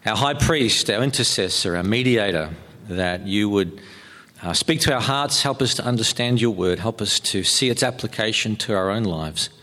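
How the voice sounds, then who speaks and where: A man's voice: on the low side, expressive, normal speed, sharp tone. Kiwi pastor guy preaching, lots of ums and false starts, voice ringing round a big hall. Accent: New Zealand. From New Zealand. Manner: hesitant, many ums and restarts. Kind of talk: sermon. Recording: long hall reverb.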